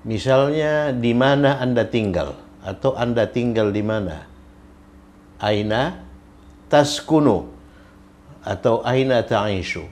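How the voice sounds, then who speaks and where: Slow, patient male Arabic teacher. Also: mid-range voice, animated, darkish tone. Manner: patient, repeats each example and its translation. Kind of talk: recorded grammar lesson.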